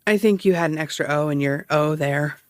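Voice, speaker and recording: An actress playing a cocky, sarcastic amateur investigator and broadcaster who teases and rambles defensively in an audio comedy-drama. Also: female voice, low-pitched, brisk, dry recording, clean studio sound, lightly acted. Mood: flippant. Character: cocky, needling amateur investigator and radio broadcaster, adult woman. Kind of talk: naturalistic audio fiction comedy-drama acting.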